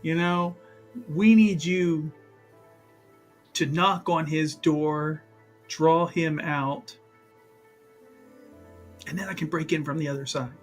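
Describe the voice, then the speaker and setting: A man's voice: a little high, fairly even intonation, takes it slowly, pauses often, faint music behind. A man playing a confident young schemer in a fantasy tabletop game, casually reassuring and coaxing his companions.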